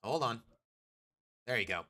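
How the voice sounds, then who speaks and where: A man's voice: medium pitch, lively intonation, unhurried, sharp tone. Rambling male game streamer. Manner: rambling, thinks aloud, talks to viewers. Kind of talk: casual live-stream chat while playing.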